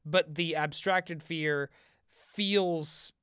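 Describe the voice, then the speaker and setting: A man's voice: fairly high pitch, steady tone, very slow, a bit bright, smooth, dry recording, studio quality. A man co-hosting a conversational analytical discussion, thoughtful and articulate in how he builds his ideas. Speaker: podcast co-host and commentator.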